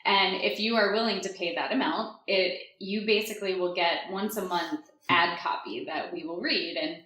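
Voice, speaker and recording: A woman's voice: lowish voice, normal speed, sharp tone. A playful, giggly woman co-hosting a casual podcast, chatting informally. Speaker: podcast co-host. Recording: roomy, echoey room sound.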